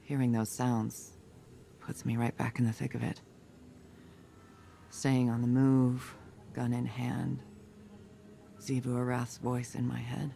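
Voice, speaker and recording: Veteran male soldier: gravelly, mid-pitched, terse and reflective, game monologue, faint ambient noise. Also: completely flat delivery, slow pace, long pauses, warm tone. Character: restless, battle-worn male veteran soldier.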